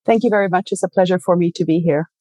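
A woman's voice: lowish voice, quick pace, dark tone, no room sound. A woman in her sixties from Egypt, telling her life story in a conversational interview, thoughtful and with many fillers and self-corrections. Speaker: interview guest. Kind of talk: casual conversation.

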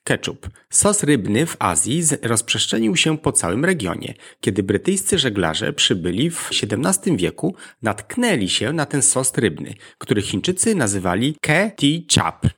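A man narrates a scripted educational podcast as its host. His voice is mid-pitched and husky, with a fair amount of creak in it. He explains things directly to the listener. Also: big pitch swings, normal speed, few pauses, fairly bright tone.

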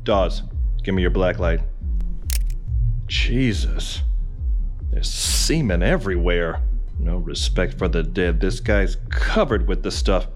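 Male, cynical washed-up gumshoe; lowish, gruff, swinging delivery for noir spoof audio drama. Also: expressive, slow pace, long pauses, soft background music. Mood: sardonic. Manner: sardonic, gruff, blunt. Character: cynical, crude, hard-boiled washed-up private detective. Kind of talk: hard-boiled noir parody audio drama acting.

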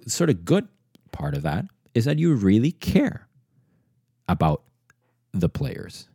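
A man's voice: sits low, warm tone, dry recording, studio quality. A man in his thirties, originally from Venezuela, hosting his own podcast and talking through his thoughts in a reflective, rambling way, often restarting his sentences. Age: 30s. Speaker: podcast host. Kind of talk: casual solo podcast monologue.

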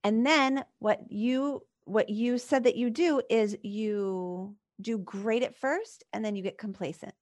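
Brief: woman life coach, higher pitch, probing and reflective, chatty with "like" fillers. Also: takes it slowly, hardly any pauses. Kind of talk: live coaching session.

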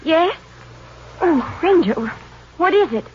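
A woman's voice: very high voice, expressive, exaggerated voice. An actress playing a guarded young woman who evades the lawmen's questions, in a 1950s radio drama. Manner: guarded, evasive, quick denials. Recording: dull lo-fi old radio broadcast with faint hiss.

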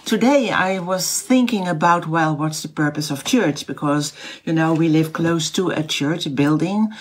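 Husky-voiced Dutch woman teaching faith. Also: sits low, normal speed. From Netherlands. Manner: searches for words with many fillers and restarts. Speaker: house church teacher. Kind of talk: casual online teaching.

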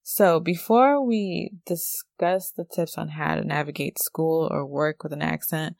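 A woman with a standard American accent hosting a podcast, explaining a topic in a measured, scripted way. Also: sits low, steady tone, gravelly, darkish tone, no echo, clean studio sound. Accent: General American. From United States. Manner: measured, explanatory, reads from prepared notes. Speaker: podcast host.

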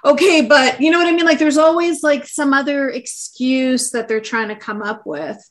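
Blunt woman host on a video call, pitched a bit high, arabic first language. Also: steady tone, normal speed. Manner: outspoken, swears when indignant. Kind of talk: casual livestream talk.

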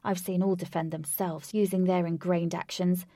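A woman's voice: lowish voice, flat delivery, normal speed, mellow, no room sound, studio quality, natural voice. A woman voicing an earnest male martial-arts leader in a storybook, speaking firmly and instructively. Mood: rallying. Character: steady, earnest male martial-arts hero leading his friends.

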